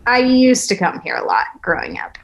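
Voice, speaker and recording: A woman acts out a jaded single woman on a date, blunt and a little wistful. Her medium-pitched voice moves around a lot, in a conversational script-reading style. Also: wildly swooping pitch, moderate pace, vocal fry. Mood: wry. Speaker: actor in a table read.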